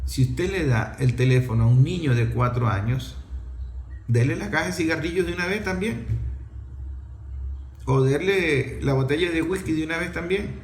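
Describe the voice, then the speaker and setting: A man's voice: on the high side, normal speed, reverberant. A middle-aged man with a Venezuelan accent giving an impassioned opinion talk in an emphatic, scolding way, full of rhetorical questions.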